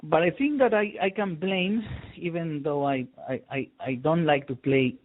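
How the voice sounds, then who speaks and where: A man's voice: fairly high pitch, moderate pace. A middle-aged man from Peru speaks as a guest. He explains things casually and hesitantly, with many fillers and repeated words. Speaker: guest expert on conflict resolution. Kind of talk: phone interview, casual explanation. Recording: tinny phone line.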